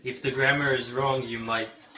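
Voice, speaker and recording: A man in his thirties from New York State, an English teacher answering interview questions in a relaxed, thoughtful way. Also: mid-range voice, normal speed, roomy sound, a little hiss or hum, rough recording. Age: 30s. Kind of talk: casual street interview answers.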